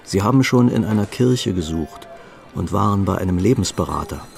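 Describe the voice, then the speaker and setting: A man's voice: low-pitched, animated, moderate pace, creaky, a little mellow, soft background music. A man reading scripted story narration for a German radio play.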